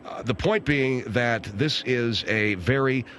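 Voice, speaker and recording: A man's voice: medium pitch, takes it slowly, fairly bright tone, a little hiss or hum. An American man hosting casual, rambling talk radio with a wry, grumbling, sardonic manner. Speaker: radio talk show host. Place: radio studio. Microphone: close studio microphone.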